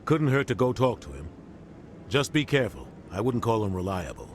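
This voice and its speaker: A man's voice: fairly low pitch, very animated, brisk, a little hiss or hum. A man playing a grave, wary military captain in a video game, blunt and terse, with weighty emphasis. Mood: dismissive, wary.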